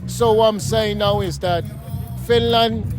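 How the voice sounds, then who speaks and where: Middle-aged Guyanese man, high voice, emphatic and repetitive, street interview with music behind. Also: fairly even intonation, a little slow. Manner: emphatic, repetitive, keeps asking "you know what I mean?". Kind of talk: street interview, casual talk. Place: outdoors on a city street.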